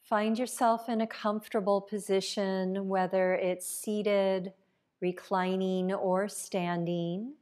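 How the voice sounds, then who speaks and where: Woman, meditation guide, extremely slow pace, even and flat intonation, calm delivery, long pauses. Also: medium pitch. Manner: calm, unhurried, with long pauses between phrases. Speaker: yoga nidra teacher.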